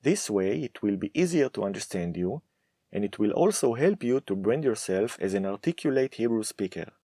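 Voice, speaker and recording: A man teaching a Hebrew lesson, speaking slowly and deliberately with firm emphasis. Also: lowish voice, animated, dark tone, no room sound, clean studio sound. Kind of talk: scripted lesson to camera.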